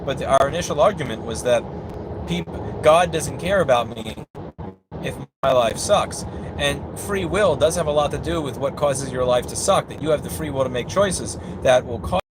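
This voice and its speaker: Blunt, animated man talking in a car. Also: medium pitch, normal speed. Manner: blunt, sweary, argues by analogy. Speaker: repair technician hosting a livestream. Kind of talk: casual argumentative conversation. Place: inside a moving car. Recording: clear road and engine noise in car.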